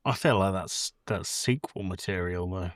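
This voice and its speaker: A man's voice: deep voice, moderate pace, no room sound, clean studio sound. A British man co-hosting a podcast, talking casually about films in a joking, blunt manner. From Britain. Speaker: podcast co-host. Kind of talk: casual film discussion.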